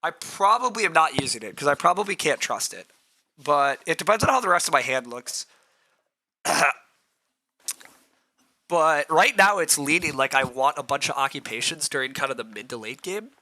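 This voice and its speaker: Hoarse man thinking aloud over a game. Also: on the high side, animated, moderate pace, sharp tone. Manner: thinks aloud, answers chat, frequent throat clearing. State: rough, hoarse voice. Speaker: streamer playing a board game. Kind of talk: live-streamed game commentary, thinking aloud.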